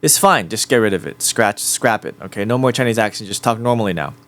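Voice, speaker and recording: A man's voice: lowish voice, expressive, fast talker, a bit bright. A man chatting casually with an AI voice assistant, rambling and repeating himself as he rephrases his questions. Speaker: host.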